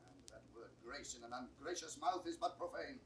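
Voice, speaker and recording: An actor playing an indignant old English duke, scolding and declaiming with a British accent in a Shakespearean audio drama. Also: male voice, medium pitch, expressive, normal speed, natural voice. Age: older. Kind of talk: classical Shakespearean stage acting. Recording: roomy, distant, hissy 1960s recording.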